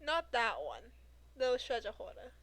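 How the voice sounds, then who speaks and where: A woman's voice: fairly high pitch, sing-song, unhurried, fairly bright tone, slight background noise. A woman game streamer chatting casually and in a rambling way while she plays. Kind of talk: casual live stream chat over gameplay.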